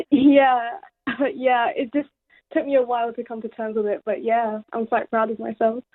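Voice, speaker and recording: A woman's voice: on the high side, flat delivery, moderate pace, dry recording. A seventeen-year-old young woman with a British accent, answering interview questions conversationally. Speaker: interview guest, young poet. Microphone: remote call to radio studio. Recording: dull phone-like line.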